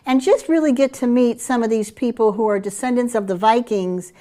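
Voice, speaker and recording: A middle-aged woman from Curaçao with a Dutch Caribbean accent, presenting group trips in an eager sales pitch. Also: brisk, dark tone. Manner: enthusiastic sales pitch with fillers. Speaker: travel program presenter. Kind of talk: promotional chat about group tours.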